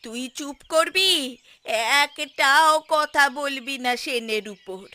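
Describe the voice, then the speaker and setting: A woman voicing a scolding elderly mother who shames her grown son in a drawn-out, nagging cartoon delivery. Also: sits high, bright, forceful tone, exaggerated voice. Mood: scornful, nagging. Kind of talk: cartoon story voice acting.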